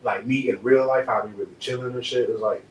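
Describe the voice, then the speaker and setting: Brief: laid-back young rapper from Dallas, slangy and rambling, mic set back in an echoey room with faint hum. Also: male voice, medium pitch, fairly even intonation, quick pace, distant mic. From Dallas, Texas. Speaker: rapper, podcast guest. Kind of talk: relaxed interview chat.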